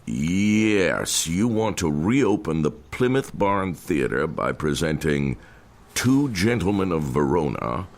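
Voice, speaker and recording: An actor playing a stately, skeptical older theater owner in an audio drama, formal and patronizing. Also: male voice, deep voice, sing-song, takes it slowly, exaggerated voice. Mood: businesslike, skeptical.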